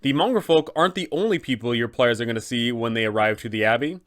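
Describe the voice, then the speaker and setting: Mid-pitched man giving casual game advice. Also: quick pace, fairly bright tone. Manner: conversational, rhetorical questions. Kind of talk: casual explanatory tabletop gaming guide.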